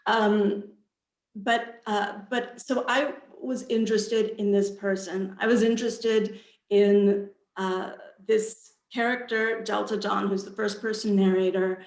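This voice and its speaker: A woman answers as a guest author on a panel over a video call. She speaks slowly and thoughtfully, often repeating a phrase as she goes. The sound is roomy, with clear echo around her voice. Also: mid-range voice, fairly even intonation. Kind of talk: panel interview answers about own book.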